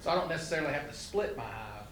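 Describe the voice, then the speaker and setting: A man's voice: on the high side, animated, rapid-fire, a bit bright. A man in a class casually asking a question, thinking aloud. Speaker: student. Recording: distant mic in echoey room with noise.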